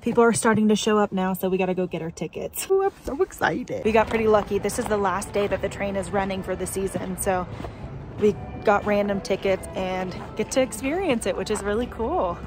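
A woman's voice: mid-range voice, a little fast. A young woman talking to her phone camera as a travel vlogger, cheerful and chatty, with music playing underneath. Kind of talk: casual travel vlog narration. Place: outdoors in snow, in a car, hotel room. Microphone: phone held at arm's length. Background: background music.

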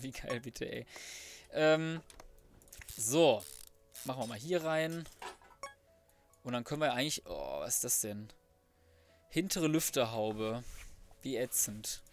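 A man giving casual, slangy gameplay commentary as a let's player, thinking aloud to himself. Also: medium pitch. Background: background music.

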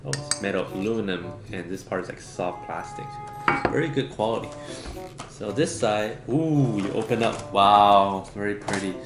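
Man in his thirties, fairly low pitch, casual unboxing talk, roomy echo, music behind. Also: moderate pace. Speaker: vlogger unboxing and reviewing a gadget. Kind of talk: casual unscripted product unboxing. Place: small home dining room, indoors. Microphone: camera on the table or at arm's length. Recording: echoey room, music in the background.

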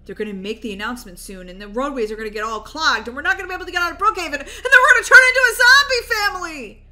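A woman's voice: medium pitch, fast talker, sharp tone, voice pushed down, lightly acted. A woman voicing an anxious, protective father in a children's roleplay story, earnest and somewhat rambling.

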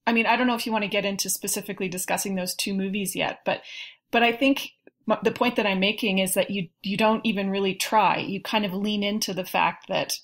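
Female panel guest, medium pitch, casual film talk, argues methodically. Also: fairly bright tone.

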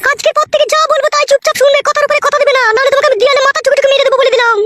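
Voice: very high voice, fairly even intonation, racing, sharp tone, no echo. A performer voicing a bossy, short-tempered village wife in a comic cartoon, scolding curtly. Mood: furious, threatening. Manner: scolding, curt, threatening. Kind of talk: exaggerated comic cartoon dialogue. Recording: clearly noisy track with clicks.

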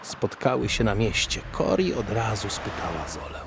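A man narrating a scripted radio drama as a storyteller, in measured phrases. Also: fairly low pitch, expressive, background noise.

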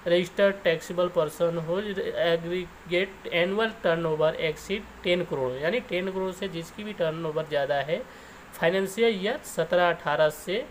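Male explainer host, slightly high pitch, informal step-by-step delivery, faint background noise. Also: slow, low-quality recording.

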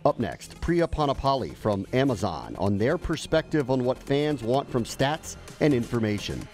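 A man's voice: mid-range voice, very animated, moderate pace, darkish tone, soft background music. A man hosting a podcast, introducing guests and reading sponsor spots in an upbeat way. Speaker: podcast host.

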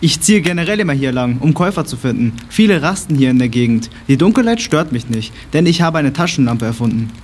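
A man's voice: mid-range voice, lively intonation, quick pace, few pauses, a little mellow, a little hiss or hum, lightly acted. A man playing a friendly travelling trader and inventor in an amateur audio drama.

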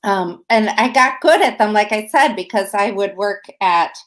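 A woman's voice: medium pitch, normal speed. A middle-aged woman leading an online writing workshop, talking in a chatty way with many fillers and restarts, over a webcam. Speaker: writing instructor. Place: room at home. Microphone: webcam video call.

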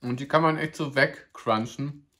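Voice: mid-range voice, fairly even intonation, normal speed, sharp tone. A food vlogger giving a casual, upbeat snack review to camera, chatty and playful, joking about their own pronunciation. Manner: chatty and upbeat, addresses viewers as "ihr Lieben".

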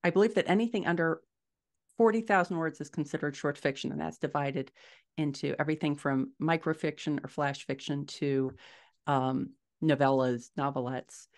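A woman's voice: low voice, fairly even intonation, moderate pace. A middle-aged woman explaining things conversationally as a guest author in an interview. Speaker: podcast guest, fiction author. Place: indoors at home, small furnished room. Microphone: video call.

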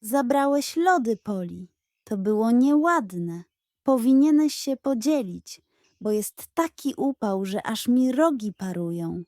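A woman's voice: on the high side, lively intonation, slow pace, darkish tone, no echo, clean studio sound. Woman as an indignant scolding nanny goat. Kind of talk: children's audio fairy tale.

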